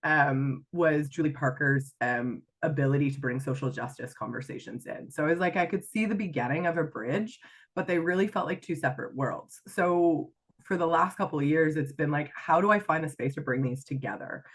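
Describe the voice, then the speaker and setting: A woman's voice: sits low, normal speed. A middle-aged woman with a Canadian accent touched by Irish, talking reflectively as a guest on a podcast interview, with many fillers and restarts. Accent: Canadian with some Irish influence. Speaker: guest, life coach.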